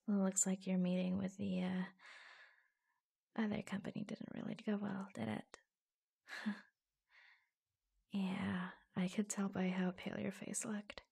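Slow, breathy, creaky-voiced caring office assistant played by a woman. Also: mid-range voice, flat delivery, full character voice. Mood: intimate, caring. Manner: hushed, gentle, hesitant pauses. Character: attentive, reassuring female office assistant, secretly affectionate. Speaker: ASMR roleplay performer. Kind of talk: ASMR roleplay, soft-spoken with breathy whispers. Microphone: close microphone.

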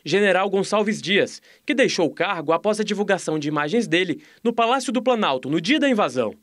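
High-voiced Brazilian news reporter. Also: male voice, animated, fairly bright tone, dry recording, studio quality. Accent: Brazilian Portuguese. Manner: measured, formal news delivery. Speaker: field reporter. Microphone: handheld microphone.

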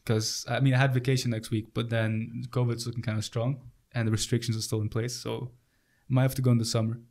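Young man, podcast host, casual chat, relaxed, chatty, fillers. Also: medium pitch, a little fast, gravelly. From Italy. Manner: laid-back, laughs often, loose fillers.

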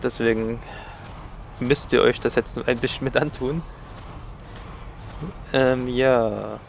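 Gravelly man with a cold, gaming. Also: medium pitch, fairly even intonation, slow, a little hiss or hum. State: has a cold. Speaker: let's play gamer. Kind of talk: casual gameplay commentary.

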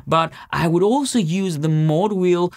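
Higher-pitched man presenting a studio tutorial. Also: unhurried, no room sound. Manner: explanatory, step by step. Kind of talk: conversational music production tutorial. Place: small home-style music studio, indoors.

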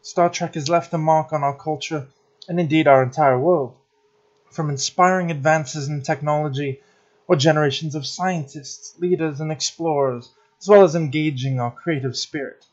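A man's voice: fairly high pitch, normal speed. A man narrating a scripted podcast essay on a slightly dull recording.

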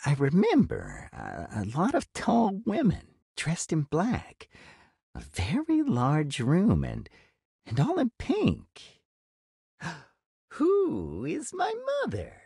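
A woman's voice: sits low, wildly swooping pitch, slow pace, mellow, breathy edge, dry recording, studio quality, heavily acted. A woman playing a haunted, wistful figure recalling a hazy childhood in a hesitant game cutscene monologue.

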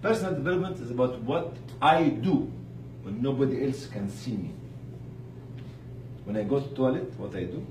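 Animated man speaking in an echoey room. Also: mid-range voice. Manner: emphatic, pausing, rhetorical questions to listeners. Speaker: motivational speaker addressing charity staff. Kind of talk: informal religious motivational talk. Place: room with live audience. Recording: distant mic, echoey room, clear noise.

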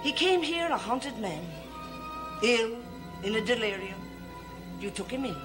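An older woman playing a secretive, sinister servant in a gothic soap opera, deliberate and insinuating. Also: a little high, expressive, over music. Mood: ominous, insinuating. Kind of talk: 1960s gothic TV soap acting. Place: TV studio library set.

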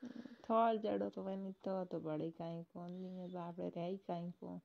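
A woman's voice: fairly low pitch, steady tone, a little slow, creaky. A woman caller chatting casually and privately on a recorded phone call, giving brief, murmured replies.

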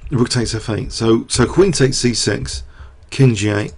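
A middle-aged man commentating live on a chess game, talking through the moves and quizzing his viewers, his voice getting croaky. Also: medium pitch, a little slow, darkish tone, a little hiss or hum.

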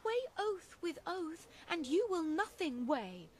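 Spurned young lover: wounded, indignant, piercingly high, deliberate pace, classical radio verse. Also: female voice, big pitch swings, heavily acted. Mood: reproachful. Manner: reproachful, emotional verse delivery. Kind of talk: classical Shakespearean radio acting.

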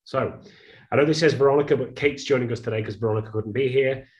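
A man's voice: mid-range voice, quick pace, a little mellow, dull audio. A man in his thirties hosting a live webinar over a video call, chatty and loose, often restarting his sentences. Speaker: webinar host.

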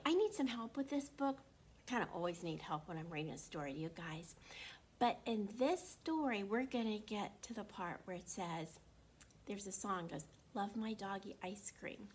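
A woman in her sixties with a slightly froggy throat, hosting an interactive read-aloud for young children in an animated, encouraging way. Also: medium pitch. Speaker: children's storytime host at a library. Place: small indoor room. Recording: constant room noise.

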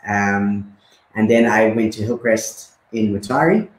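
A man's voice: lowish voice, slow pace, reverberant, poor audio. A man in his thirties from Zimbabwe, a guest chatting casually with many fillers and repeats. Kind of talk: casual conversation.

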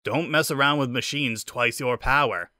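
A man's voice: mid-range voice, normal speed, bright, forceful tone, no echo, clean studio sound. Man voicing a stern old hedgehog mechanic. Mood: scolding. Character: gruff, fatherly old male hedgehog mechanic.

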